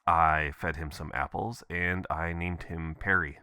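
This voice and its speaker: A man's voice: deep voice, measured pace, clear, resonant tone, dry recording, studio quality, exaggerated voice. A man playing an adventurous, affectionate boyfriend leaving casual, playful voicemails in an intimate roleplay style. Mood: amused, affectionate. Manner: casual, expressive, chuckling, swearing. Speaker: audio performer.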